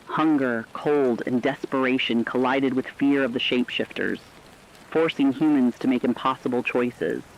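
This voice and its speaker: A man delivering scripted apocalyptic horror narration in a grave, measured storytelling manner. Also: medium pitch, creaky, lo-fi sound.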